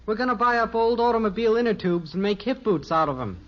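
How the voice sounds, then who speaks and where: A noisy, muffled old radio recording of a male actor as an American boy. His high voice is earnest and naive, full of eager schemes, in family sitcom style. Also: lively intonation, quick pace. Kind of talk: 1950s radio sitcom acting.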